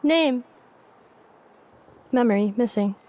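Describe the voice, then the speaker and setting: A woman playing an emotionless, literal robot messenger in a video game, speaking in deadpan, halting fragments. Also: a little high, a little hiss or hum. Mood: blank, confused. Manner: clipped, deadpan, halting one- and two-word statements. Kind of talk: video game character dialogue.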